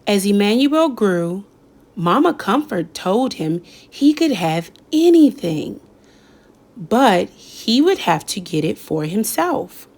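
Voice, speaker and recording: A woman reading a children's picture book aloud in an expressive storytelling style, pausing for effect. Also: mid-range voice. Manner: slow, lively storytelling with dramatic pauses. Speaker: read-aloud narrator.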